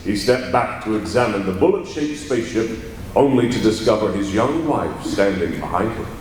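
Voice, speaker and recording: A man's voice: fairly low pitch, lively intonation, moderate pace, lightly acted. A man acting as the dramatic narrator of an old radio adventure serial, in scripted, urgent storytelling style. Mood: urgent, grave. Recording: distant, very echoey, clear background noise.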